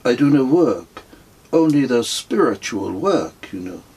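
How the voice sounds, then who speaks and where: A man voicing a serene, self-satisfied foreign spiritual wanderer in a storybook, speaking earnest pronouncements in halting, non-native English. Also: medium pitch, sing-song, gravelly, darkish tone, a little hiss or hum, pitched above the performer's own voice. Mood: placid, earnest.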